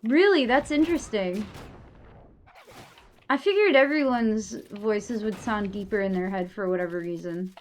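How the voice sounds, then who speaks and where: A woman's voice: mid-range voice, moderate pace, a little hiss or hum. A young adult woman streaming live, chatting casually and rambling with lots of filler words and the odd swear.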